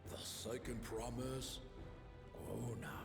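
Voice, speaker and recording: A man playing an aged, commanding warrior king in a video game cutscene, speaking in a slow, stately, deliberate way. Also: mid-range voice, level tone, gravelly, echoey room, over music. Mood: solemn. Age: older.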